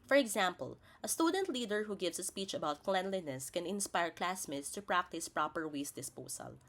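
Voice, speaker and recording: Woman, slightly low pitch, highly varied intonation, scripted lesson narration, didactic teacher delivery. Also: animated, normal speed, a bit bright.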